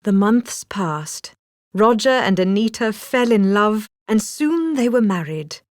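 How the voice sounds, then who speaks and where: A woman's voice: mid-range voice, animated, takes it slowly, a little mellow, no room sound. A woman reading a scripted children's story as a storybook narrator.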